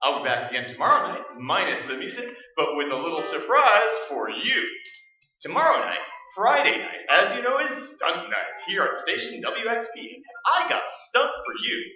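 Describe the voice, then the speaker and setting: A woman playing a brash, excitable male disc jockey with hammy, chummy showman patter in a staged old-time radio play. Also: medium pitch, normal speed. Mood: upbeat patter. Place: live stage performance. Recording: roomy echoing stage, distant dull mics.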